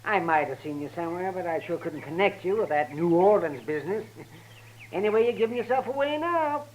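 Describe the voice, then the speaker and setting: A man's voice: high-pitched, animated, brisk, poor audio. An actor playing a talkative male doctor held captive, sardonic and taunting, in a 1950s radio drama. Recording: old radio broadcast with faint hiss.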